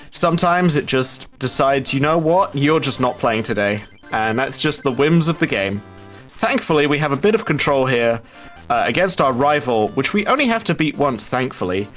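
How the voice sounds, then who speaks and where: A man provides live commentary for a speedrun race in a medium-pitched voice. He talks in long, wordy sentences and stretches words for emphasis. Music plays faintly behind him. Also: a bit bright.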